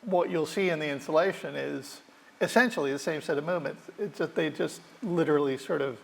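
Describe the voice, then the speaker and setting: A man's voice: a little high, fairly quick, vocal fry. A man moderating an onstage artist interview in an auditorium, talkative, repeating words as he leads the questions. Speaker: curator and moderator.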